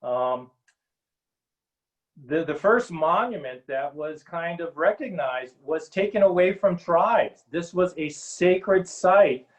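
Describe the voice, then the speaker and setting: A middle-aged man from Hawaii speaking earnestly as a panel guest on a video call, with many pauses and ums. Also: a little high, lively intonation. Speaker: panel guest, Native policy advisor. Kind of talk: webinar panel talk.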